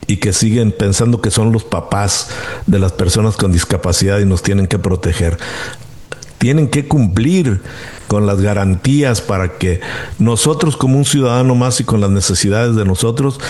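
Low-voiced man, indignant commentary. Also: darkish tone. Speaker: host. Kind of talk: news commentary, conversational.

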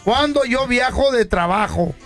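A man with a Mexican accent co-hosting a casual chat, tossing in quick slangy reactions and jokes. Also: very high voice, expressive, vocal fry, music in the background. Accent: Mexican Spanish. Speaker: co-host. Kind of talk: casual banter.

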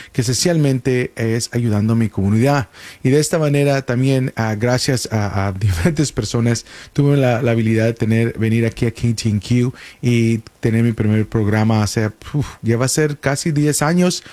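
A man in his thirties, born in Guatemala and raised in the US, talks sincerely as a lawyer hosting a radio show. His voice sits at a middle pitch in a dry, close studio sound. He hesitates often, filling gaps with "ah" and "eh". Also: darkish tone, no echo. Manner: sincere, hesitant, many "ah" and "eh" fillers. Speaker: immigration lawyer and radio host. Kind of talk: radio talk show conversation. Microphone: studio microphone.

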